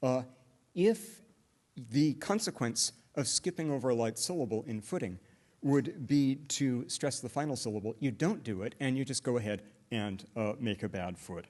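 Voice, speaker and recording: A middle-aged man lecturing at a conference, explaining step by step and often pausing on "uh" fillers and restarts. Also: mid-range voice, lively intonation, a little mellow. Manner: hesitant, many "uh" fillers and restarts; explanatory, walks through examples step by step. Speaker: linguistics lecturer. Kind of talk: academic conference talk.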